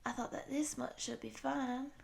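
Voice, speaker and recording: A woman voicing a tall, gentle, friendly cowgirl monster in her thirties, soft, affectionate and apologetic, in an intimate audio roleplay. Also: sits high, steady tone, normal speed, creaky. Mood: tender. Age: 30s. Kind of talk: intimate roleplay addressed to the listener.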